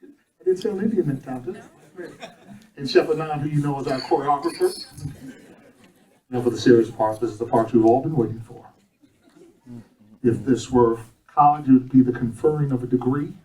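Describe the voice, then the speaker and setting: A man's voice: mid-range voice, normal speed, pauses often, warm tone. Joking man emceeing a graduation. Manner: joking, self-correcting, then formal. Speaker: chef instructor. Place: event hall. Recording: echoey room from far off, audience behind.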